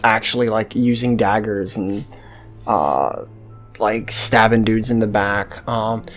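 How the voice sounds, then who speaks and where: A let's-play host with a medium-pitched voice talks slowly and casually over faint game music. The delivery rambles and hesitates, with plenty of swearing mixed in. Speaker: host of a gameplay series.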